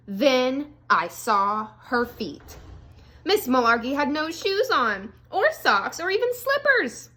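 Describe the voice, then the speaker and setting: A woman, an elementary school teacher, reading a storybook aloud to young children with expressive delivery and casual, chatty asides. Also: a little high.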